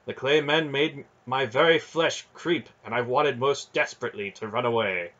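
A man's voice: medium pitch, moderate pace, a bit bright, highs cut off, voice pushed up, lightly acted. A man voicing a brooding noble knight who speaks in an archaic, earnest and declaiming way. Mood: troubled, solemn. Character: brooding noble male knight, formal and solemn.

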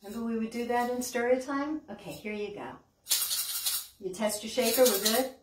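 A woman's voice: a little high, moderate pace. An older American woman hosting a children's storytime, asking simple, encouraging questions as if to young children. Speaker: children's library storytime host. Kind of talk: children's storytime with puppets, songs and read-aloud. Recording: roomy, distant mic, background hiss.

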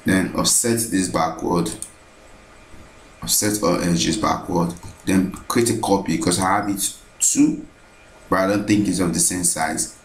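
A man with a very low voice talks slowly and steadily through a screen tutorial, saying each step aloud and often repeating it. His voice echoes in the room over a faint hiss. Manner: steady, repetitive step-by-step narration, thinking aloud. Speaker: architecture software tutor. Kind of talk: screen-recorded software tutorial narration.

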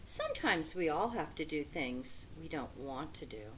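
Woman playing a slow, gentle mother raccoon. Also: sits low, big pitch swings, slight background noise, pitched below the performer's own voice, full character voice. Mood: reassuring. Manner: soothing, unhurried, tender.

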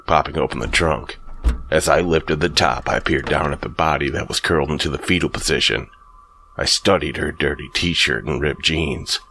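A man narrating a first-person horror story in a slow, brooding scripted read. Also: a bass voice, vocal fry, pushed and bright, slight background noise.

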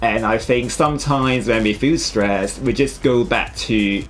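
A man's voice: medium pitch, a little slow, faint music behind. A young man from Hong Kong with a Hong Kong accent, chatting casually as a designer being interviewed in a small studio. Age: young adult. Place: small studio room with clothing rails, indoors.

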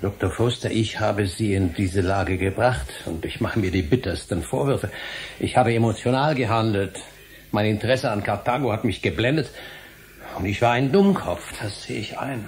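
An actor playing a troubled middle-aged history professor in a German radio play, with formal, intense, emotional delivery and a gravelly voice. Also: male voice, lowish voice, moderate pace, slight background noise, natural voice. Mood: contrite, anxious.

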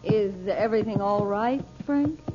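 A woman playing a gentle, concerned mother in an old radio drama. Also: mid-range voice, a little slow, music in the background, lightly acted. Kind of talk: 1940s radio drama acting. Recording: dull old radio recording.

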